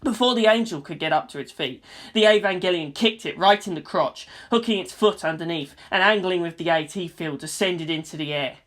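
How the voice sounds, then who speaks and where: A woman's voice: fairly low pitch, fairly quick. A woman reading scripted story narration in a dramatic style.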